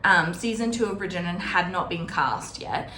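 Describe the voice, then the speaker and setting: A woman's voice: lowish voice, normal speed, sharp tone. A woman hosting a casual, chatty book readalong, retelling the story informally and paraphrasing dialogue in a conversational way. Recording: roomy echo with faint background noise.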